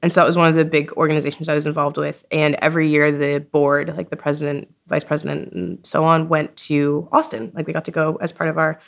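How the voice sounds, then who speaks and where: A woman in her twenties with a slightly low voice is being interviewed. She talks in a loose, informal storytelling way, peppered with "like" and quoting herself as she goes. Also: fast talker, creaky, few pauses. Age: 20s. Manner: informal, animated self-quoting, frequent "like". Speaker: podcast creator being interviewed. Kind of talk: casual chatty interview storytelling. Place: recording studio at a festival.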